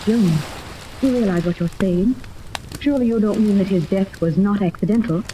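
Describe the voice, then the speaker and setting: Woman as a mellow, refined, spirited wealthy mother. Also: mid-range voice, moderate pace, lightly acted, rough recording. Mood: earnest. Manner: refined, emphatic, theatrical. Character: wealthy, spirited, protective middle-aged mother, indignant captive. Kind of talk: 1930s radio serial acting. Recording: old radio recording with faint noise.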